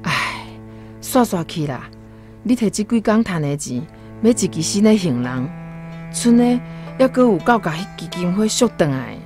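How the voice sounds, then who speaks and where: A woman with a Taiwanese accent playing a gentle, worried, consoling wife of a poor peddler in a radio drama. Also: medium pitch, very animated, moderate pace, natural voice. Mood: consoling, resigned. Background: plucked guitar music.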